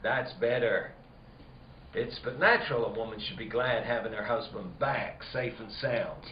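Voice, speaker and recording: An actor playing a blunt, needling rural man in a spooky radio drama, folksy and halting in his talk. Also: lowish voice, lightly acted. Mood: coaxing, uneasy. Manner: folksy dialect, halting, insinuating. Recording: roomy, muffled, noisy lo-fi recording.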